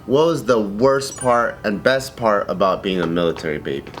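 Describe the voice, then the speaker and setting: A man's voice: lowish voice, expressive, normal speed. A man hosting a casual chat, asking playful, teasing questions. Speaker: host.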